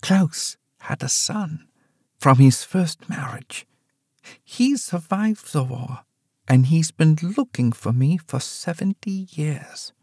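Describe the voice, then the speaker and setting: A man voicing a sharp, guarded woman in her nineties who speaks quietly and steadily, in a storybook reading. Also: a little high, expressive, takes it slowly, breathy edge, no echo, clean studio sound, pitched above the performer's own voice, full character voice. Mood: grave. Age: 90s.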